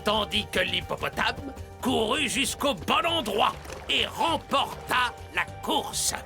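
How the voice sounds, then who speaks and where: Wise cartoon animal storyteller: high gravelly voice, dramatic fable delivery, music underneath. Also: male voice, lively intonation, takes it slowly, full character voice. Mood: storytelling. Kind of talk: animated series dubbing, fable narration. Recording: music behind.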